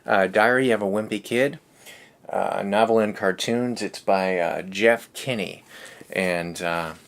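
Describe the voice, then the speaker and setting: A man's voice: on the low side, a little slow. A man hosting a read-aloud show, reading and chatting in an informal, easygoing way. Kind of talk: casual story reading with chatty asides.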